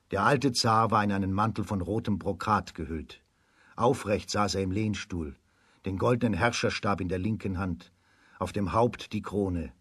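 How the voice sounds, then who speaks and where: A man giving measured, scripted storytelling narration for a children's radio drama. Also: on the low side, husky, no room sound, clean studio sound.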